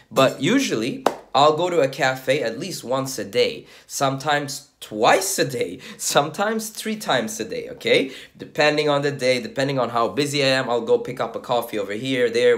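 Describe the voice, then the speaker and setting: Lively 31-year-old man, chatty coach. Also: mid-range voice, normal speed. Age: 30s. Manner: repeats "okay?" as a check-in, conversational and direct. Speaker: dating coach. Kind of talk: casual talk to camera.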